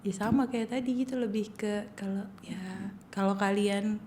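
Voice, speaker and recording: A woman's voice: mid-range voice, steady tone, moderate pace, darkish tone, a little hiss or hum. Chatty young woman giving career advice. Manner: chatty, encouraging, laughs easily. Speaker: podcast guest, civil engineer. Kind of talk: casual advice chat.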